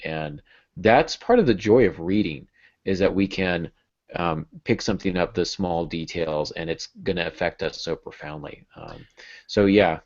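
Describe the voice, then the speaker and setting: Very low-voiced man, muffled call audio. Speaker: podcast host and writing coach. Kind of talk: casual instructional talk. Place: small indoor home office. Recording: dull, lo-fi video call audio.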